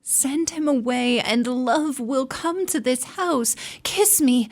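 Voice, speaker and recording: A woman's voice: high voice, slow pace. A woman acting a desperate, lovelorn young wife in a radio drama, pleading with wounded emotion.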